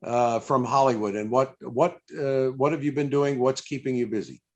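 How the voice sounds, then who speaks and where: A man's voice: mid-range voice, moderate pace, darkish tone. A man conducting a conversational interview over a video call, with hesitant, stammered starts to his questions. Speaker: interviewer, stage impressionist.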